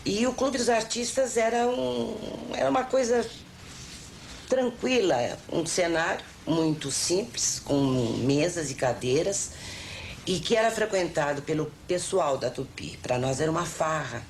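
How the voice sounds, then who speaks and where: A woman's voice: fairly low pitch, unhurried. An older woman with a Brazilian accent recollecting her career with nostalgia and effusive affection for colleagues. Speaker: veteran television performer. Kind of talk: nostalgic recollection in a documentary interview. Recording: old TV recording with faint noise.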